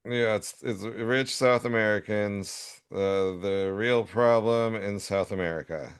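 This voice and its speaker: A man co-hosting a casual chat, speaking haltingly as he mulls things over. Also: lowish voice, smooth, dry recording, clean studio sound.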